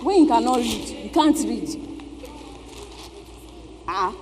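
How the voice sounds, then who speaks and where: An indignant market trader on stage, high-voiced, slow and dramatic with exclamations. The recording is echoey and clearly noisy. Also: female voice, animated. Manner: exclamatory, deliberate. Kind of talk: theatrical stage-play acting. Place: theatre stage, picked up in the hall. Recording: echoey hall, noticeable background noise.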